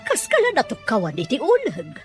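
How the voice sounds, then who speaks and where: A woman with an Ilocano accent voicing a calm, caring mother who gives gentle advice in a radio drama. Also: a little high, a little fast. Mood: soothing. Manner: gentle, advising. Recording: dry with faint music.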